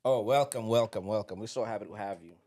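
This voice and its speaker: A man hosting a podcast, welcoming his guest with upbeat enthusiasm and quick affirmations. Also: lowish voice, dark tone. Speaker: podcast host.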